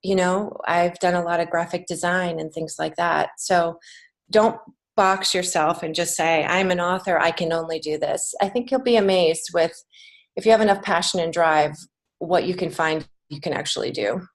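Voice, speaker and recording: A woman's voice: lowish voice, fairly even intonation, moderate pace. An American woman giving casual, encouraging answers as an author being interviewed on a podcast. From United States. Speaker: podcast guest, children's book author and creative writing teacher.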